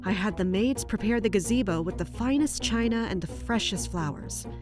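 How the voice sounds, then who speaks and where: A woman's voice: medium pitch, normal speed, over music, close to an everyday voice. An actress playing a dutiful, exasperated female palace guard captain in an audio drama, speaking in a wry, formal way. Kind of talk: audio drama monologue and dialogue.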